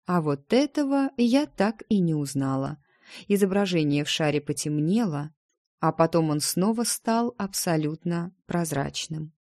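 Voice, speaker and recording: A woman's voice: low-pitched, animated, a little slow, darkish tone, no echo, studio quality. A woman narrating first-person fiction from a script, acting out the dialogue as she reads. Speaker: audiobook narrator.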